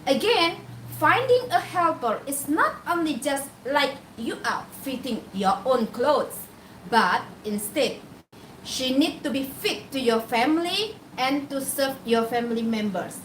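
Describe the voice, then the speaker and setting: An Indonesian-accented woman with a high, lively voice talks through a live stream, posing questions and answering them herself. She sounds set back from the microphone in a roomy space with a faint hiss behind her. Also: unhurried, far from the mic. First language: Javanese. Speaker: live-stream host advising employers on hiring helpers. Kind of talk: casual live-stream talk.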